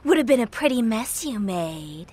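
An actress playing a mocking, predatory villainess with a teasing delivery. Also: female voice, fairly high pitch, sing-song, dark tone, a little hiss or hum. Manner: slow, teasing.

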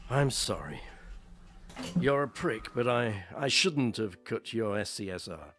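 A man's voice: fairly low pitch, very animated, unhurried, a bit bright. Intense actor as a brooding, unhinged man. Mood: brooding, fatalistic. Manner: intense, obsessive. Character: brooding, jealous, fatalistic male miner, unhinged. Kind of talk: radio play acting.

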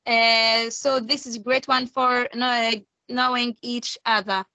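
A woman whose first language is Arabic, presenting a webinar and leading an icebreaker, speaking slowly with many fillers over a video call. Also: on the high side, little pitch movement, sharp tone, no room sound. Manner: slow, hesitant, with many fillers.

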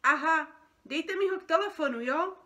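A woman's voice: high-pitched, a bit bright, pitched above the performer's own voice. A woman voicing an exasperated, bossy feathered office worker on the phone in a storybook. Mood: indignant. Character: busy, irate feathered office workers ordering dreams by phone. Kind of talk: storybook character reading.

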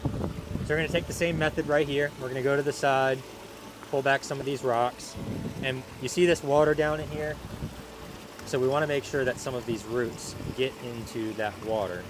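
A man's voice: medium pitch, fairly even intonation, normal speed. A man talking casually as he works outdoors, hosting a vlog, picked up by a camera mic. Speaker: pond rescue vlog host. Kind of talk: casual chat while working. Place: outdoors at a garden pond. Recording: noisy outdoor camera audio.

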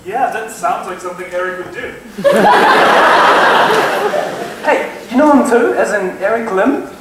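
A man's voice: high voice, lively intonation, slow pace, far from the mic, heavy background noise. Young man in a very echoey hall. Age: young adult. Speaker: student actor in a stage skit. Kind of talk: amateur stage play dialogue. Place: large stage hall. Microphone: far stage microphones.

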